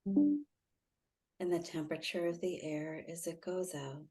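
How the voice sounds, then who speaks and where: A woman guiding a meditation in a soothing, gently instructive way, leaving long pauses. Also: on the low side, big pitch swings, faint music behind. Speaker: meditation guide, intuitive healer. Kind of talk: guided meditation.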